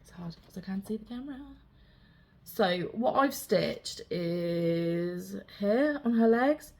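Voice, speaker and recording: A young woman talks in a relaxed, rambling vlog manner. Her voice carries a slight rasp. She sounds self-deprecating and easygoing. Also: medium pitch, slow pace. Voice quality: slightly raspy. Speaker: cross-stitch vlogger. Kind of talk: casual show-and-tell chat.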